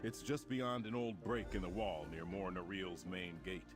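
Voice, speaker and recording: A man's voice: low voice, steady tone, normal speed, close to an everyday voice. A man voicing a confident, commanding warrior prince in a fantasy video game, speaking formally and rousingly. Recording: prominent music behind the voice. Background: game music.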